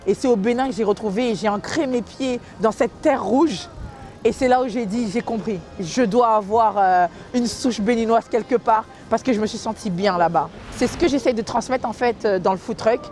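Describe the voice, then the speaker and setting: A woman's voice: a little high, normal speed, a little mellow. A woman who grew up in Guadeloupe giving a personal testimony in an interview as a food truck co-founder. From Guadeloupe, France.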